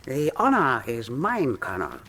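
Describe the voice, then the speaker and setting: An actor playing a formal, measured and quietly sinister master assassin in a radio drama. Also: male voice, mid-range voice, huge pitch swings, background noise. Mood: courteous, measured. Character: courteous, sinister male master assassin, calm and deliberate.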